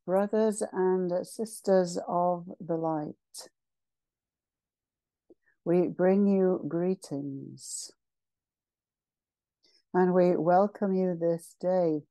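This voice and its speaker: A woman leading a guided meditation as a channeled spiritual address, solemn and ceremonial, speaking in short measured phrases with pauses. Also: on the low side, fairly even intonation, warm tone, no room sound, low-quality recording.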